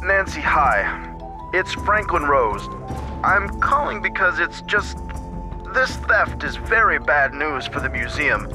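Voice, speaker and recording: A man's voice: a little high, big pitch swings, takes it slowly, music in the background. An actor playing an anxious, courteous museum board man in a video game, speaking in a hesitant, pleading way. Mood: worried, pleading.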